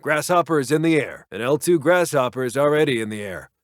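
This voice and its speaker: An actor playing a businesslike American military intelligence officer, giving clipped, official unit reports in a strategy game. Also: male voice, mid-range voice, animated, brisk, no echo, clean studio sound, close to an everyday voice. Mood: brisk, dutiful.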